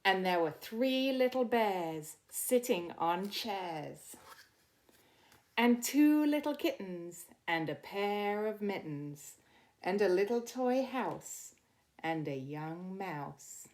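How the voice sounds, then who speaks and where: A woman's voice: medium pitch, expressive, takes it slowly, darkish tone. A middle-aged woman reading a picture book aloud to children, talking simply and directly to her young listeners. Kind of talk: read-aloud storytime for children.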